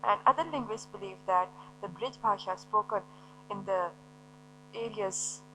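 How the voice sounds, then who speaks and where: A woman's voice: medium pitch, a little slow, pushed and bright, slight background noise, low-quality recording. A woman teacher giving a lecture, explaining step by step and asking and answering her own questions. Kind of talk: recorded classroom lecture.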